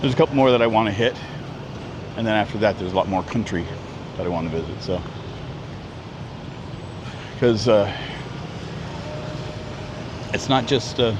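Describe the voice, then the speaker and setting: A man in his fifties from Canada, chatting casually on a live travel vlog, rambling with lots of ums and restarts. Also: lowish voice. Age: 50s. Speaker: travel vlogger. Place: outdoors at a busy market street. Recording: street traffic noise outdoors. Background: traffic and street noise.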